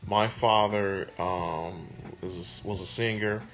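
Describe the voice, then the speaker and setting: Unhurried man. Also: low voice, steady tone, a bit bright, highs cut off. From Detroit, USA. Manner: unhurried, conversational, with many ums. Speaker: music producer interviewee. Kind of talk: reflective interview answers.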